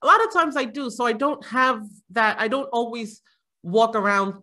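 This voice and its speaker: A woman's voice: on the high side, expressive, normal speed, bright, forceful tone. A middle-aged woman from Jamaica chatting casually as a panel guest, rambling with frequent fillers and laughs. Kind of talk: casual conversation.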